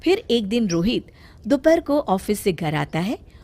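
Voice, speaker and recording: A woman narrating a scripted cartoon story in a calm, even way. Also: mid-range voice, measured pace.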